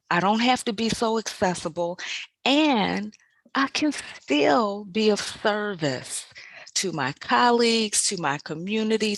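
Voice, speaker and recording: A woman in her fifties, a podcast host, reflecting in a measured, deliberate way and repeating phrases for emphasis. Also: fairly low pitch, creaky, a bit bright, no echo. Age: 50s. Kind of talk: reflective personal monologue.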